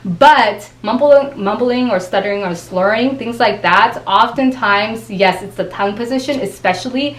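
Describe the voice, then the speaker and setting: A woman's voice: a little high, moderate pace, hardly any pauses, fairly bright tone. A woman with a Japanese accent whose first language is Japanese, explaining speech technique as a guest coach in a conversational interview. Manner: explanatory, teaching tone with frequent restarts. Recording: echoey room.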